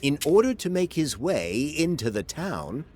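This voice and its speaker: Male narrator, rhyming storybook, slow deliberate delivery, dramatic emphasis, wildly swooping intonation. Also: fairly high pitch, sing-song, darkish tone, slight background noise.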